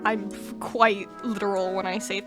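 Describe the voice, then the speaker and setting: A woman giving expressive, dramatic live commentary as she reacts to a game's story. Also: mid-range voice, normal speed, soft background music. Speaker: game streamer reacting to a story quest. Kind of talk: excitable live gameplay commentary.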